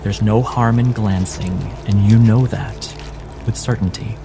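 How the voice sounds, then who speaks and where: Male game master, unhurried and suspenseful, weighty pauses, dramatic storytelling over prominent music. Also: lowish voice, steady tone. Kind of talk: dramatic second-person narration of a tabletop game. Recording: music behind the voice.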